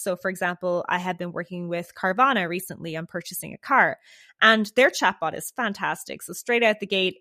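Guest, woman, non-American accent, mid pitch, upbeat and explanatory, dry close sound. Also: a bit bright, no room sound. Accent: non-American English. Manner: upbeat, explanatory, laughs easily. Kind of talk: casual tech interview.

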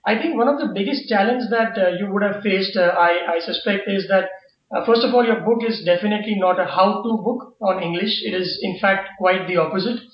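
A man hosting a podcast, asking eager, chatty questions with some halting restarts. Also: sits high. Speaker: podcast host. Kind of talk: interviewing. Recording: dull roomy lo-fi recording.

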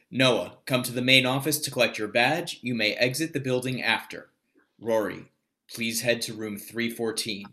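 A man voicing a cold official announcement in a flat, formal delivery. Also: mid-range voice. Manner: flat, official, reads stage directions. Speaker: narrator and announcer.

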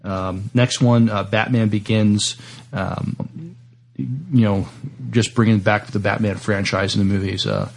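Guy co-hosting a podcast, kinda low and gravelly, hesitant, casual. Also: fairly even intonation. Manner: halting, hesitant delivery. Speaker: podcast co-host. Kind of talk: casual list rundown and chat.

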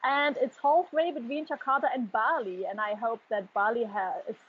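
A woman's voice: a little high, normal speed, husky. A middle-aged woman from eastern Germany with a German accent, answering interview questions over a dull remote call, sometimes searching for words. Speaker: podcast guest. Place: half-open office in tropical rain.

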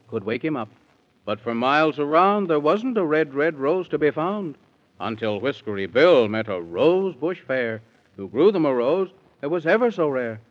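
Animated male storyteller on old radio. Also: a little high. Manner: theatrical, singsong storytelling. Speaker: storyteller, narrator. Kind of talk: rhyming scripted narration, 1930s children's radio. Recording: muffled old 1930s radio recording.

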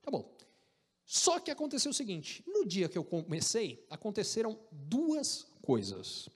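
A man with a Brazilian Portuguese accent telling an animated, joking story about his career, with frequent restarts. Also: sits high, measured pace, slightly rough, in a large hall. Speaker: speaker recounting career story. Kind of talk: casual storytelling talk.

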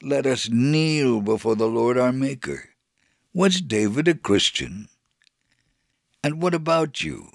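A man reading a scripted first-person religious narrative in a measured, solemn, dramatic way. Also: medium pitch, very animated, creaky, no echo, studio quality. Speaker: storyteller on a religious radio program.

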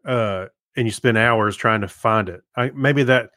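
A man's voice: lowish voice, a little slow, bright, forceful tone, dry recording, clean studio sound. A man co-hosting a podcast, chatting casually and reading out comments from listeners. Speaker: podcast co-host.

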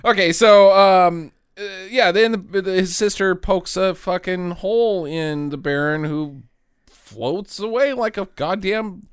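A man in casual, profane conversation, recapping a movie with friends and acting out characters in quick jokey asides. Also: high voice, clean tone, no room sound, clean studio sound.

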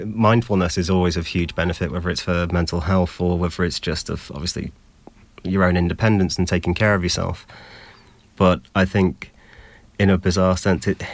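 A man's voice: sits low, no room sound. A young man with a British accent giving an articulate, thoughtful personal account as an interview guest. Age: young adult. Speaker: interview guest sharing his experience.

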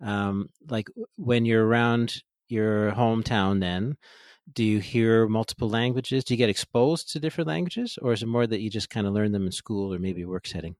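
Man, podcast host, fairly low voice, easygoing, dry close sound. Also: normal speed, no room sound. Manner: relaxed, friendly, with fillers like "you know". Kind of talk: casual interview.

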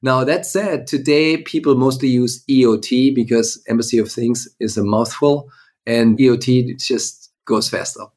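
A man with a medium-pitched voice. He speaks as an interview guest, explaining things in a chatty, conversational way. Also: fairly even intonation, moderate pace, a little mellow. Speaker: guest, tech company CEO.

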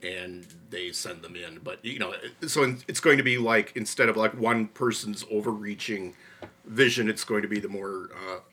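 A middle-aged man hosting a casual podcast, talking in halting, hesitant phrases. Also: lowish voice, sharp tone. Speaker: podcast host. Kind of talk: casual chat.

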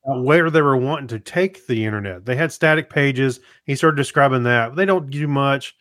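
A man's voice: medium pitch, moderate pace, fairly bright tone, no echo, studio quality. A man talking informally as a podcast co-host. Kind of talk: casual conversational chat.

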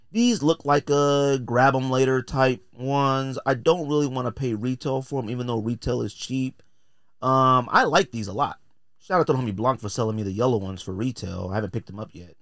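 A man's voice: mid-range voice, brisk, a bit bright, no echo, studio quality. An American man hosting a podcast with casual, opinionated commentary, talking in slang with a blunt, joking, theatrical delivery. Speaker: podcast host.

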